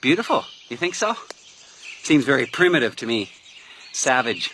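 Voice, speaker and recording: An actor playing an inquisitive, self-assured newspaper reporter, pressing and over-familiar, in a detective radio drama. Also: male voice, medium pitch, very animated, moderate pace, bright, forceful tone, slight background noise. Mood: insistent, familiar.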